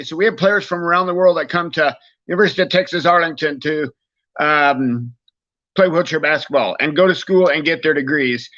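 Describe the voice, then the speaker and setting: A man's voice: on the high side, pushed and bright, lo-fi sound. A man speaking casually as a coach and guest on a show, introducing his program.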